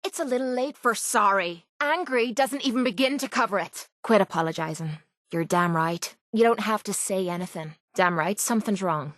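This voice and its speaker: Woman acting as a tough young Irish brawler, female game companion, blunt, rough-edged, guarded yet loyal, dry close recording. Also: medium pitch, normal speed, a bit bright, no echo, close to an everyday voice. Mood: angry, blunt. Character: tough, foul-mouthed young woman pit fighter, guarded but loyal. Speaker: voice actress in a video game. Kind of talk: video game companion dialogue.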